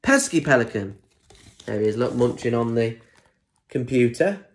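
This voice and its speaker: A man's voice: medium pitch, normal speed, warm tone. A young man with a British accent reading a children's picture book expressively, chatting directly to listeners with asides. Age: young adult. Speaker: primary school story reader. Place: small indoor room at home. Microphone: phone or tablet at arm's length.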